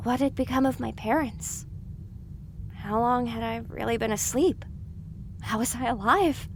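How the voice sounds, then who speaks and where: An anxious young mare voiced by a woman in an audio drama, high and earnest. She sounds bewildered as she questions herself, with faint noise behind her. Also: a little slow. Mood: dazed. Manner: urgent self-questioning.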